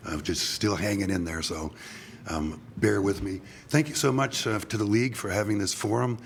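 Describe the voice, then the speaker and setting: An older man running for office, giving a halting forum statement, his voice scratchy from a cold. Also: fairly low pitch, creaky. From Seattle. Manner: halting, many ums and uhs. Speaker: political candidate.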